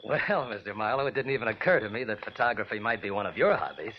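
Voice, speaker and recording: An actor playing a polite, guarded artist husband, courteous and earnest, in 1940s radio drama style. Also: male voice, lowish voice, animated, quick pace, lightly acted. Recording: dull vintage radio recording, faint noise.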